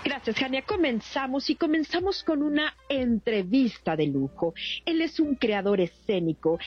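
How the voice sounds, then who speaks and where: A woman's voice: on the high side, breathy edge. A woman hosting a radio interview, formal and respectful, putting in a filler "eh" now and then. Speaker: radio host. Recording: dull studio sound, faint music.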